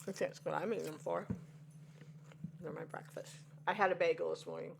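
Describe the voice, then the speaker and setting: A woman's voice: fairly low pitch, steady tone, normal speed, creaky, background noise. A woman in her sixties, chatting to viewers over coffee and rambling through a personal story with folksy exclamations. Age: 60s. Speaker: devotional vlogger.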